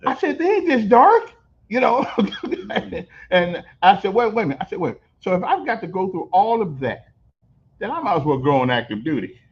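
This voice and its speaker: An older man hosting a casual on-location interview, telling stories in an animated way, repeating words and laughing as he goes. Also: high voice, moderate pace, husky. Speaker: host. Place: on location at a veterans center. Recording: dull lo-fi recording.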